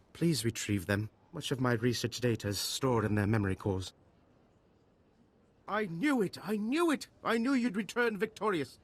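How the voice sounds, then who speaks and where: An actor playing a pompous, excitable and callous eccentric scientist in a video game, with theatrical delivery. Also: male voice, mid-range voice, natural voice. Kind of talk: video game character dialogue.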